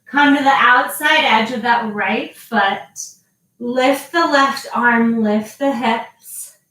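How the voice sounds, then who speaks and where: A woman guiding a yoga class with slow, calm cues and long pauses between them. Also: fairly high pitch. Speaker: yoga teacher. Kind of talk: guided yoga instruction. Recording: very echoey, voice from a distance.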